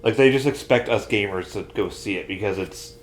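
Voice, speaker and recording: Low-pitched man chatting casually. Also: fairly quick. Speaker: podcast co-host. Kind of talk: casual chat about pop culture.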